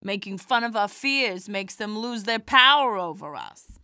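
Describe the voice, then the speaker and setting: A woman playing a kindly, proud elderly comedienne in an audio drama, genteel and gently chiding with folksy quips. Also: mid-range voice, expressive, unhurried, no echo, clean studio sound. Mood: gentle, wry.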